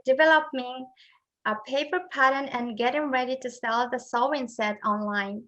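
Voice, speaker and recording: A woman reads a prepared talk for an online conference in a high, bright voice. Her delivery is careful and halting, and she stops to correct slipped words. She speaks over a video call microphone. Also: poor audio.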